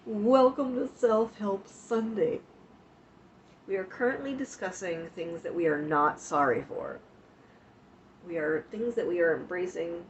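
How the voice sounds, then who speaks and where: A woman's voice: on the low side, slight background noise. A woman hosting a casual livestream, sniffly and coughing from the flu, talking frankly and with swearing straight to her chat. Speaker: livestream host. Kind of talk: casual livestream chat about self-care. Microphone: streaming mic. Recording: dull lo-fi stream audio.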